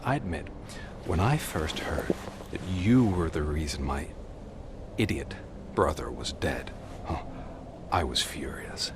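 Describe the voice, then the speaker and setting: An actor playing a calm, menacing crime boss in a video game, speaking slowly and condescendingly over noise. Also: male voice, rumbling low, full character voice. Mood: calm menace. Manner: slow, deliberate, condescending. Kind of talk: video game cutscene acting.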